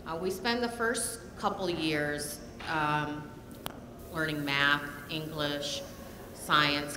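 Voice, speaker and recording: An older woman, a nursing program director, giving a measured panel talk with frequent pauses. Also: deep voice. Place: lecture hall. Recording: echoey hall, audible room noise.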